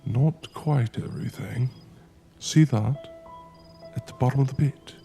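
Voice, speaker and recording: An actor playing a determined, adventurous scholar in a period horror audio drama, speaking in a formal, old-fashioned, earnest way. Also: male voice, mid-range voice, unhurried, vocal fry, warm tone, faint music behind. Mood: eager. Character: determined, adventurous male scholar exploring ancient ruins.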